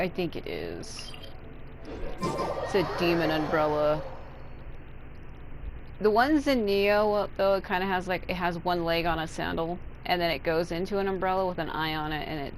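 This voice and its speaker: A woman's voice: on the low side, slight background noise, poor audio. A woman giving casual, rambling let's play commentary, with some swearing. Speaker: gaming streamer. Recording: game music behind the voice.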